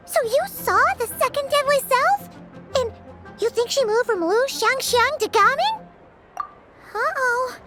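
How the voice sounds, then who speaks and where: A small floating fairy companion from a video game. The voice is tiny, childlike and extremely high, with big melodic swings and a slow, drawn-out delivery. It is excitable and fretful, with music playing behind. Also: female voice. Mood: alarmed. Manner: speaks of self in third person, exclaiming.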